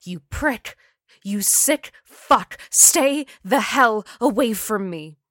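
A woman voicing a young woman's ghost, confused and hostile, who shouts and swears. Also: mid-range voice, lively intonation, takes it slowly, dry recording, studio quality. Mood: furious.

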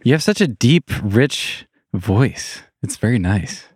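A man hosting a podcast, relaxed and friendly, chatting casually with his guest. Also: medium pitch, takes it slowly, breathy edge, no room sound, clean studio sound. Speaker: podcast host. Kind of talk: casual interview chat.

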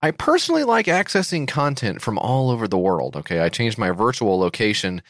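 An American man co-hosting a comedy podcast, sarcastic and jokey, reading an ad in mock-excited bursts. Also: mid-range voice, fairly quick, dry recording, clean studio sound. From United States. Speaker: podcast co-host. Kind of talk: comedic podcast banter and read-out ad.

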